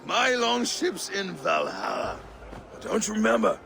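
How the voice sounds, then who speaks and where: A gravelly, drunken Viking. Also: male voice, high voice, wildly swooping pitch, a little slow, over music, full character voice. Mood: melancholy. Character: drunken, melancholy, boisterous Viking warrior. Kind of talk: video game dramatic acting.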